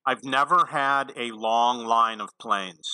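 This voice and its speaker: A man's voice: mid-range voice, slow pace, sharp tone, clean tone, no echo, rough recording. A man giving casual, unscripted tutorial commentary on a video game in a matter-of-fact way.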